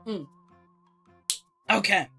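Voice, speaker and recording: A streamer chatting casually through a let's-play, rambling with lots of filler words and getting a little raspy. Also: medium pitch, very slow, bright, forceful tone, soft background music. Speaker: streamer playing an old game version.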